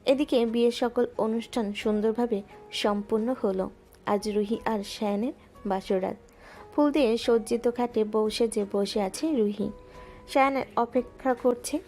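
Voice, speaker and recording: A woman narrating a romantic audio story in a smooth, even storytelling voice. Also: fairly high pitch, a little slow. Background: soft background music.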